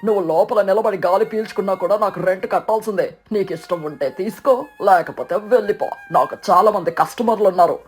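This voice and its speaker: Cartoon stork landlord, female performer: male, greedy, lowered voice, scornful and dismissive delivery. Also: brisk, few pauses, faint music behind, natural voice. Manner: haughty, dismissive.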